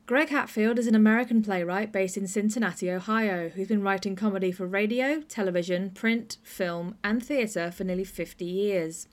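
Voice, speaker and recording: A woman's voice: medium pitch, moderate pace, hardly any pauses. A woman podcast host giving a scripted introduction to an audio play.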